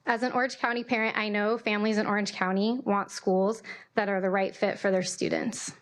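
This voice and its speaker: A woman's voice: medium pitch, little pitch movement, fairly quick. A woman, giving a prepared, formal statement of support at a public meeting. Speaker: parent speaking as public commenter.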